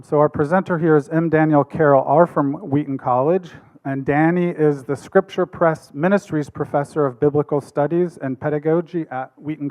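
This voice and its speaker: Man, symposium moderator, relaxed, fairly high voice, frequent ums, podium mic. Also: rough recording. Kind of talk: introducing speakers at a symposium.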